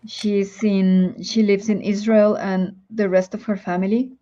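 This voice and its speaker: A woman's voice: mid-range voice, level tone, a little slow, mellow, lo-fi sound. A woman who teaches Spanish, speaking English with a Spanish accent and chatting casually as a guest, thinking aloud with many ums. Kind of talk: casual chat on a live stream.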